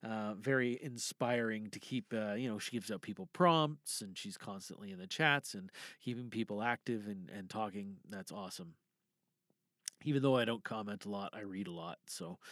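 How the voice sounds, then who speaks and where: Weary, lively male podcast host. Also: medium pitch, dry recording, clean studio sound. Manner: self-deprecating, joking. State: tired. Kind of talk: casual rambling podcast intro.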